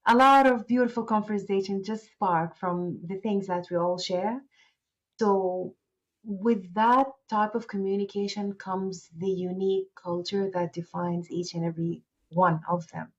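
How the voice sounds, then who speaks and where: A woman's voice: medium pitch, fairly even intonation, a little slow, lo-fi sound. A woman whose first language is Arabic, a guest founder speaking politely and thoughtfully in an interview. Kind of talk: interview conversation.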